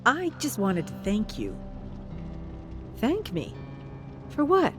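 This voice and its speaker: Narrator voicing a guarded woman TV host, higher-pitched. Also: huge pitch swings, slow pace, a bit bright, faint music behind, heavily acted. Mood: guarded, surprised. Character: guarded, weary female TV show host.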